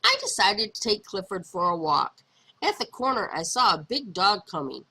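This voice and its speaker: A woman narrates a picture book for young children with very wide, swooping intonation. Her voice is bright and a little husky, and she leans on words dramatically, drawing them out for emphasis. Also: medium pitch, big pitch swings, moderate pace. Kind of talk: expressive read-aloud narration for young children.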